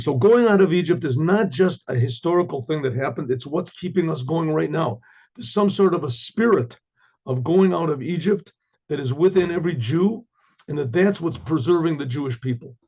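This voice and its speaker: An older hoarse man, a rabbi, giving a Torah class with halting restarts and frequent throat clearing. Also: fairly high pitch, expressive, a little mellow, lo-fi sound.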